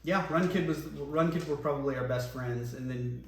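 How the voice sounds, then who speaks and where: A man, a former band singer, reminiscing casually in an interview, relaxed and rambling with many ums and restarts. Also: medium pitch, moderate pace. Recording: echoey room, voice from a distance, faint noise.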